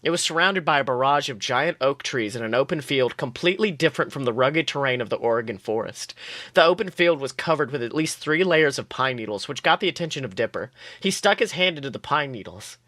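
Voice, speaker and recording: Bright, animated man reading stories aloud. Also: medium pitch, brisk, dry recording, clean studio sound. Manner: expressive, playful. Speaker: podcast host. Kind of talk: storytelling, reading comic fan fiction aloud.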